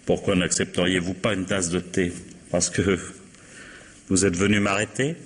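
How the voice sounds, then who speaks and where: An actor playing a courteous, resigned middle-aged widower accused of murder, in 1950s French radio drama style, with a husky voice. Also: male voice, sits low, level tone, normal speed, in a large hall. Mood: courteous, wry. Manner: polite, resigned, wry.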